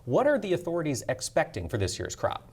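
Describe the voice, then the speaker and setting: A man's voice: on the high side, wildly swooping pitch, fairly quick, darkish tone, slightly rough. A man hosting a farm news program, introducing guests and asking questions in an upbeat presenter manner. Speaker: news show host. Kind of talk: broadcast interviewing.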